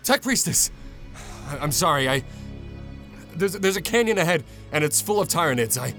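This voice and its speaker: Man acting a distraught, high-voiced knight pilot. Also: animated, fairly bright tone, soft background music. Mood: flustered, pleading. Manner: halting, emotional. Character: exhausted, distraught young knight pilot struggling with his machine. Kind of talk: science fiction audio drama acting.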